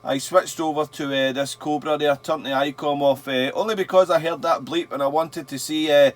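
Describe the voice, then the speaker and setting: Higher-voiced man on ham radio. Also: steady tone, normal speed. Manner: friendly, repeats phrases and spells words out. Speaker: amateur radio operator hosting a channel. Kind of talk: casual radio contact chat with phonetic spelling. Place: small indoor radio shack.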